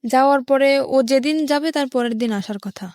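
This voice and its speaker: High-voiced young Bangladeshi woman. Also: normal speed, a little mellow, no room sound, studio quality. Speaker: guest telling life story. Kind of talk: personal storytelling in an interview.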